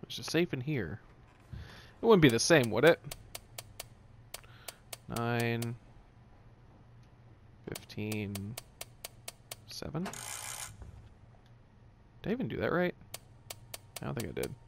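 Guy doing chill lets play, slow talker w lots of vocal fry, wry n sweary, noisy clicky mic. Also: medium pitch, sing-song. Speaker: video game streamer. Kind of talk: casual let's play commentary, muttering to himself.

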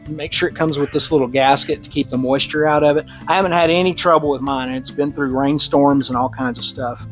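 A man with a Texan accent giving a casual, conversational technical talk to a radio club, with easy fillers and restarts. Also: fairly high pitch. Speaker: club presenter on radio antennas. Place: club meeting room.

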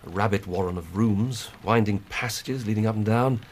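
An English actor playing an earnest, plain-spoken police inspector in a radio drama, reporting methodically and emphatically. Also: male voice, on the low side, normal speed, a little hiss or hum, lightly acted. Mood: earnest, matter-of-fact. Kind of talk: 1990s British radio drama acting.

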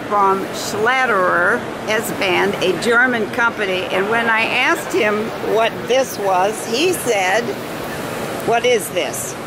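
A woman casually commenting and asking questions as she vlogs around a loud, busy convention hall. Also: slow, over a noisy crowd. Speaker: vlogger touring trade show exhibitors. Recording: loud convention hall din, ventilation rumble. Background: loud trade show floor noise.

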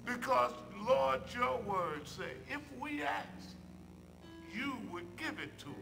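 An older man, a church minister, leads a public prayer from a pulpit in measured phrases with emphatic repetition. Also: very high-pitched, expressive, creaky, music in the background, rough recording. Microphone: pulpit microphone.

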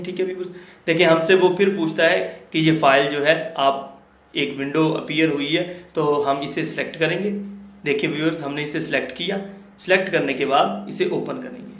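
A man giving a screen-recorded software lesson, explaining each step slowly and often checking in with his viewers. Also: on the high side. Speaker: computer tutor. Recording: echoey room.

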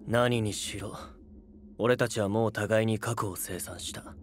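An actor playing a cool, curt young warlord in an anime audio drama, dry and matter-of-fact. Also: male voice, lowish voice, steady tone, slow pace, a little hiss or hum. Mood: dry, aloof. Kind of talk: Japanese anime voice drama acting.

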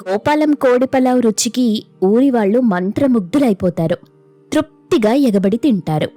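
A woman's voice: on the high side, animated, moderate pace, mellow, soft background music. A woman narrating a scripted moral folk tale as the storyteller.

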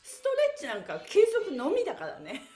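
A bubbly middle-aged woman leads a stretch class from a small indoor studio and chants her counts in cheerful, sing-song bursts. Her voice sits high and swoops widely. A phone camera a few steps away picks her up in a roomy, echoing space with faint music underneath. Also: huge pitch swings, brisk, dark tone. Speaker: stretch instructor. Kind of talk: live-streamed stretch class. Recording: echoey room.

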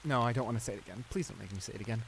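Man acting shy, stammering low-voiced school bully, rain behind. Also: very fast, gravelly, a little hiss or hum, poor audio. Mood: embarrassed, nervous. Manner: stammering, hesitant, apologetic, easily embarrassed. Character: shy, flustered male school bully confessing a crush. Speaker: audio roleplay voice actor. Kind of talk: intimate improvised audio roleplay acting. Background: steady rain.

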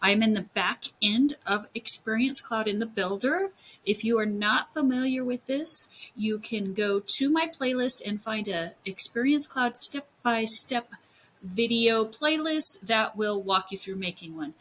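A woman narrating a screen-share software tutorial, explaining things step by step. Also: fairly high pitch, expressive, measured pace, rough recording.

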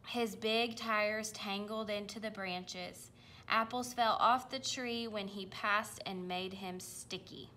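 A woman reading a children's picture book aloud, with careful, expressive delivery. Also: medium pitch, slow, a little hiss or hum. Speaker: storybook reader.

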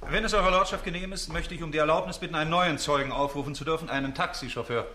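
A man's voice: a little high, fast talker, sharp tone, a little hiss or hum. An actor playing an insistent, sceptical prosecuting barrister in a courtroom radio play, formal and pointed in his questioning.

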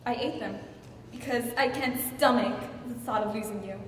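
Slow-paced woman acting a desperate, wry wife. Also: high-pitched. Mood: hurt, confessing. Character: wry, desperate wife refusing to accept divorce. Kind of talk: dramatic audition monologue. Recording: echoing hall from far off, faint noise.

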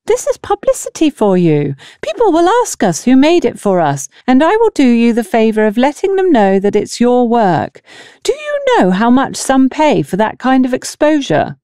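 Woman putting on a high, haughty, indignant mother. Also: wildly swooping pitch, normal speed, dark tone, clear, resonant tone, dry recording, studio quality. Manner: pompous, indignant. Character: haughty, indignant village mother, pushy dressmaker's customer.

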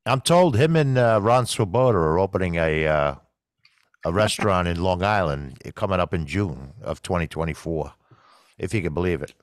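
A middle-aged man with a New York accent and a very low, deep voice, hosting a casual interview. He jokes easily and keeps it light. His studio mic sounds close and dry. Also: moderate pace, no room sound. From the Bronx, New York. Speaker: podcast host. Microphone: studio mic with headphones.

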